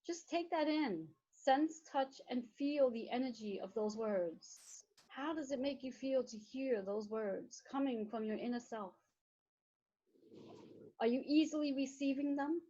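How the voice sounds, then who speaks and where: Meditation guide: woman with a slightly high voice, soothing and repetitive, on a dull lo-fi recording. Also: mellow. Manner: slow, soothing, repetitive phrasing.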